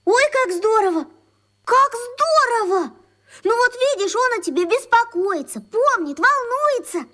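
A very high-pitched chirpy schoolgirl. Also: expressive, measured pace, sharp tone, exaggerated voice. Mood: excited, reassuring. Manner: chirpy, exclamatory. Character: eager, caring schoolgirl Katya, chirpy and optimistic. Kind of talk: radio play acting.

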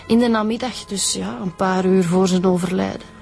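A woman's voice: mid-range voice, fairly even intonation, moderate pace, gravelly, darkish tone, faint music behind, natural voice. Actress as a diligent Flemish woman detective. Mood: matter-of-fact, keen. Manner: matter-of-fact, explanatory. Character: diligent, eager female homicide detective reporting findings. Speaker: police detective. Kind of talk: radio drama acting.

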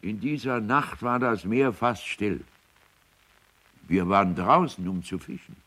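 A man playing a calm, plain-spoken fisherman witness in a radio drama. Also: on the low side, measured pace. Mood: calm, certain.

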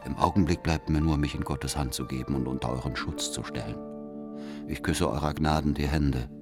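Very deep-voiced, calculating spy. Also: male voice, fairly even intonation, music in the background. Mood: secretive, deferential. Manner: formal, deferential, measured. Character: cunning male spy, servile and coldly calculating. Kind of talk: radio drama, letter read as inner monologue.

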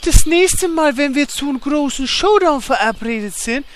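A woman voicing a hot-headed, crude male warrior who talks bluntly and shouts. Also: high-pitched, animated, normal speed, a little hiss or hum. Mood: gruff.

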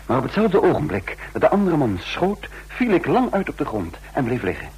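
A man's voice: on the high side, big pitch swings, brisk, background noise. An actor playing a defiant trained fighter who recounts his own escape in a radio drama, with a sardonic edge. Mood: urgent recounting. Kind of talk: radio drama, first-person narration and dialogue.

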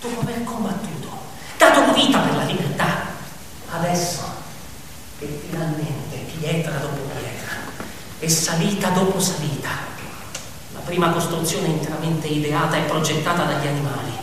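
Man's high, theatrical narration in echoing hall. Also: moderate pace, a bit bright, a little hiss or hum. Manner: dramatic, declamatory, ironic. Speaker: narrator and performer of a radio play. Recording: echoey hall, distant mic, music behind.

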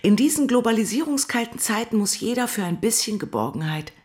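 An actress playing a high-minded heiress in a radio play, speaking in a lofty, moralising manner. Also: female voice, sing-song, brisk, a little mellow. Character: high-minded heiress loftily justifying a grim scheme.

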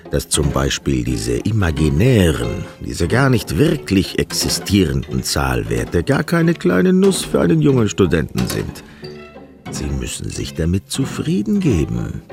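An actor playing a nervous, condescending young mathematics professor in a German radio play, lecturing in a halting, evasive way. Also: male voice, low voice, creaky, heavily acted. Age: young adult. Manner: halting, lecturing, swinging emphatically.

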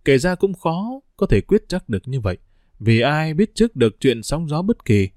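A man's voice: fairly high pitch, very animated, normal speed, a little mellow, dry recording, studio quality. A man reading the letter of a weary middle-aged prisoner in a melancholy, reflective voice.

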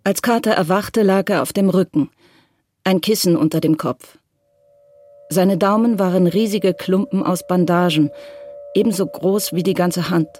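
A woman narrating a radio crime drama in a sober, measured, literary style. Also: on the low side, a little mellow, dry recording, lightly acted.

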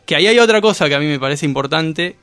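An Argentine man in a casual radio discussion, explaining things in a halting way, correcting himself and often checking that he is followed. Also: fairly high pitch, animated, a bit bright, clean tone, dry recording. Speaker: guest discussing comics and manga.

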